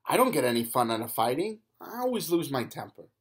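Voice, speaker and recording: A man's voice: mid-range voice, very animated, fairly quick, slightly rough. A man doing a fierce little cinema bouncer lady. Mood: grumbling. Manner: blustering, threatening.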